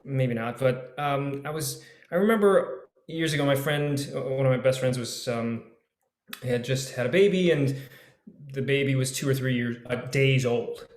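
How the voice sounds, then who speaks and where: A man speaking reflectively in a panel discussion, halting and circling back as he thinks aloud, like an actor sharing a personal view. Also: mid-range voice. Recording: roomy, echoey video call sound.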